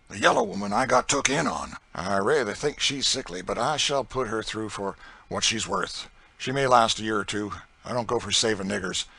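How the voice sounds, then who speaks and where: A man voicing a brutal, boastful planter with a rural Southern accent, loud and swaggering. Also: fairly low pitch, gravelly, husky, no room sound, pitched above the performer's own voice, full character voice. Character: brutal boastful male slave-driving planter.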